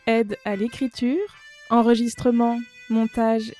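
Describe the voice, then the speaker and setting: A woman announces credits and episode titles from a script. Her voice is fairly high but mellow. Accordion music plays underneath. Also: flat delivery, slow.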